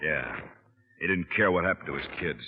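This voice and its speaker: An actor playing a hot-tempered young ranch man accused of killing his father, in a defiant, gruff 1940s radio drama style. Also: very deep voice, moderate pace, slight background noise. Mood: resentful. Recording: tinny old radio broadcast with long hall echo.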